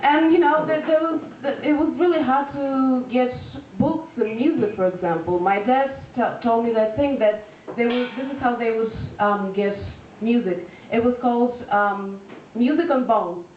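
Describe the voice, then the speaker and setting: A woman, performing a deadpan stand-up set full of fillers and restarts, recorded live at a venue. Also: on the high side, measured pace, few pauses, slight background noise. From Russia. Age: 20s. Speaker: stand-up comedian. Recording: echoey lo-fi room recording from far off.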